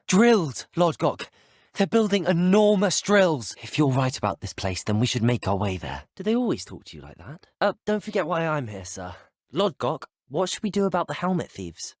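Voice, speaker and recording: An actor playing a polite, earnest teenage student wizard in a video game, with a British accent and courteous, articulate speech. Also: male voice, medium pitch, a little mellow, no echo, clean studio sound. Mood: inquisitive, courteous. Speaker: video game player character. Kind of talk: scripted game dialogue.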